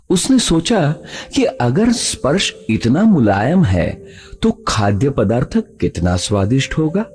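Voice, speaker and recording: A man narrating a scripted children's story in an expressive, dramatic way. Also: mid-range voice, slightly rough. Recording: music behind the voice.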